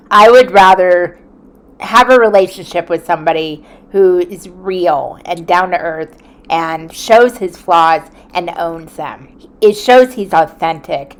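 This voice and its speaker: A woman in her forties, a content creator, talking in an earnest, reflective way from a quiet room at home, with small hesitations. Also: fairly low pitch. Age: 40s. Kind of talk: reflective vlog talk.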